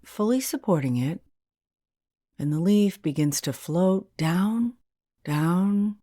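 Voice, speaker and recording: A woman leading a guided meditation as a hypnotherapist, in a soothing, gentle and unhurried manner. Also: on the low side, dry recording, studio quality.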